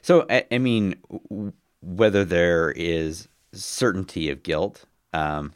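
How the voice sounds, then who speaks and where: A man with a bass voice and a cold coming on, hosting a casual podcast and talking with many hesitations and restarts. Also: takes it slowly, dry recording, clean studio sound. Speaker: podcast host.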